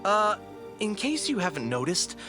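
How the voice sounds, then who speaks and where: A plucky adventurer hero played by a man with a fairly high, swooping voice. He is sarcastic and casual, quick to get flustered, and cracks wise in an audio drama style. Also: over music. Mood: incredulous, excited. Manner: wisecracking, excitable, casual.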